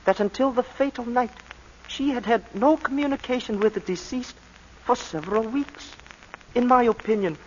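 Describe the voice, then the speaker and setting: An actor playing a polite, sly male law clerk who is deferential and measured, in 1940s radio acting. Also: high voice, a little hiss or hum. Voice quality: deferential, measured, sir-laden. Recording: muffled 1940s radio broadcast.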